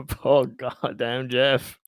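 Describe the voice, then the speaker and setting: A man's voice: medium pitch, no echo, studio quality. A man chatting casually as a player in a tabletop game, given to exclamations.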